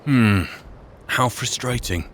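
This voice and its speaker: A man's voice: mid-range voice, slow, background noise, close to an everyday voice. A man playing a wry adventurer, musing aloud to himself and his companions as he roleplays a fantasy video game. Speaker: player roleplaying a game character on a let's play channel. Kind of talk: in-character improvised game narration.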